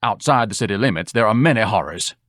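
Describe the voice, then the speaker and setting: A man's voice: fairly low pitch, lively intonation, fast talker, fairly bright tone, dry recording, clean studio sound. A man voicing a pompous, self-pitying fat young man who speaks in lofty, grandiloquent phrases. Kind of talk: audiobook dialogue.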